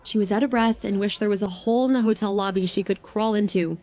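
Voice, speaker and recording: A woman's voice: mid-range voice, fast, dark tone. A woman narrator reading a scripted third-person romance story for an audio drama.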